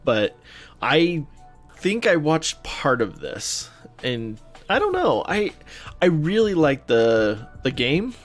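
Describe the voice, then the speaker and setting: A man's voice: a little high, very animated, slow pace. A man co-hosting a podcast, chatting casually in an informal, easygoing manner. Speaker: podcast co-host. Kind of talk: casual chat. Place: home office. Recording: home office recording, music underneath. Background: underlying background music.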